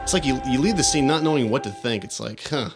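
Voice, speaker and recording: A man's voice: mid-range voice, lively intonation, quick pace, over music. A man co-hosting a podcast, describing video game scenes in an earnest, enthusiastic, conversational way. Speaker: podcast co-host.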